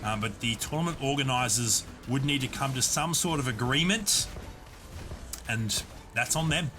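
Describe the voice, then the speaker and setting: A man casting a live online game tournament, giving steady, explanatory play-by-play. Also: medium pitch, moderate pace, music in the background. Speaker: esports caster and streamer. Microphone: desk USB condenser mic.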